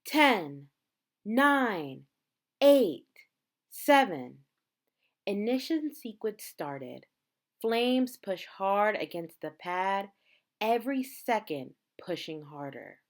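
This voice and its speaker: A woman reading a picture book aloud like a teacher, in measured, dramatic phrases with emphasized words. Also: medium pitch, sing-song. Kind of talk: read-aloud storytelling.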